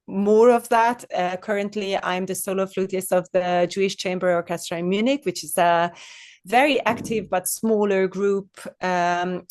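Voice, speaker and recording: A woman's voice: mid-range voice, dry recording, studio quality. Hungarian-born woman, flutist being interviewed. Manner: measured, many restarts and fillers. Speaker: flutist and guest. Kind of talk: interview conversation.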